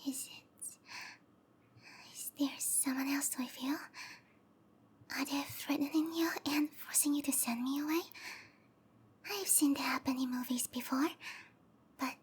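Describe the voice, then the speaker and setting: A woman voicing a sweet, obsessive young snake-monster girlfriend in a roleplay ASMR, soft and whispery, with a cutesy, pleading delivery. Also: high-pitched, steady tone, measured pace, pauses often, slight background noise. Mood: apologetic, worried.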